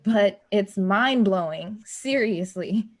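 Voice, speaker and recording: A woman's voice: mid-range voice, slow. An actress playing a friendly, shy woman in her twenties in an online play reading, casual and hesitant. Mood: easygoing. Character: friendly, shy 23-year-old woman working as lobby attendant. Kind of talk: naturalistic acting in an online staged play reading. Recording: dull, lo-fi online recording.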